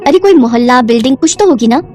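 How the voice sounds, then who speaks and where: महिला की आवाज़ में दयालु, विनम्र बूढ़ी ठेले वाली अम्मा. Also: female voice, high-pitched, animated, quick pace, a little mellow, faint music behind. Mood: caring, questioning. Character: kind, humble old widow selling paratha at a cart.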